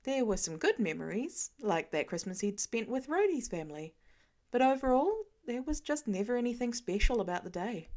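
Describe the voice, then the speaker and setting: A woman narrating a fan fiction audiobook in an expressive storytelling manner. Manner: expressive storytelling, varied pitch. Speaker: audiobook reader.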